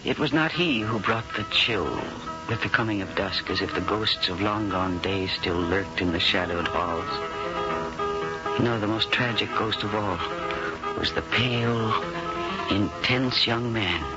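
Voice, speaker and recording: A woman's voice: a bass voice, measured pace. An actress playing a curious young American woman who asks eager questions and also narrates wistfully, in a 1970s radio drama. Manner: inquisitive, lively, wistful narration. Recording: dull 1970s radio recording, loud music.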